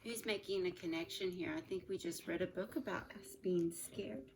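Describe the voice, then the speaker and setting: A teacher reading a picture book aloud to her students from home, with expressive delivery and gentle asides to the children. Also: on the low side, moderate pace.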